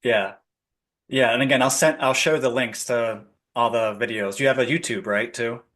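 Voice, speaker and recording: Guy hosting a chill spiritual podcast, excited, laughs a lot, says yeah yeah, mid voice. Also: mid-range voice, moderate pace. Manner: enthusiastic, laughs often, repeats affirmations. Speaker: podcast host. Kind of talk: casual spiritual interview chat.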